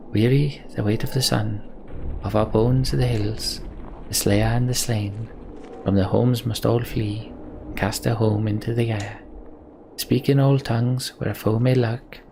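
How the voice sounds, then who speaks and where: A man hosting a let's play, commenting and reading game text aloud in an unhurried, reflective way. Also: mid-range voice, gravelly, a little mellow. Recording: slight background noise.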